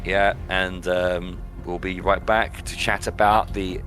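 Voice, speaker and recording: A man's voice: deep voice, little pitch movement, takes it slowly, fairly bright tone, soft background music. A man hosting a podcast in a casual film discussion, conversational and repeating phrases for emphasis. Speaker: podcast host.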